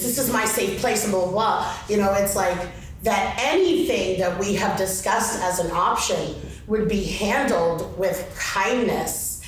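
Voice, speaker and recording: A woman speaks up in a group discussion, rambling and conversational. She is distant from the mic in a very echoey room, with faint noise. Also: mid-range voice. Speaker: audience participant. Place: large indoor convention room.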